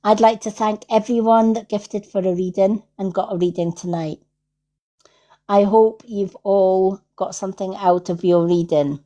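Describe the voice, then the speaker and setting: A woman's voice: medium pitch, fairly even intonation, measured pace, dark tone. A Scottish woman giving casual spiritual readings on a livestream as its host, in an affirming way, often checking in with "right?". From Scotland. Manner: affirming, repeats herself, tags with "right?". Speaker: psychic medium hosting a livestream.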